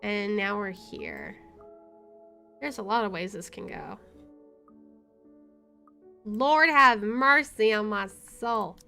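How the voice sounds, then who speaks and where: A woman's voice: medium pitch, sing-song, slow pace, pauses often, sharp tone, clear, resonant tone, soft background music. A woman hosting a playthrough, reading game text aloud and commenting casually. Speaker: gameplay host.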